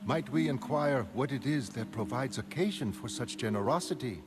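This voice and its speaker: A man's voice: medium pitch, lively intonation, moderate pace, pitched above the performer's own voice, lightly acted. A man voicing an obsequious male servant in a comic, deferential and very formal. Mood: humbly grateful. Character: obsequious, ceremonious male servant honored by his master.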